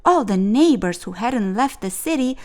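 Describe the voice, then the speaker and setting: A woman reading a children's picture book aloud as a storyteller, with expressive, clearly phrased narration. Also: a little high, moderate pace.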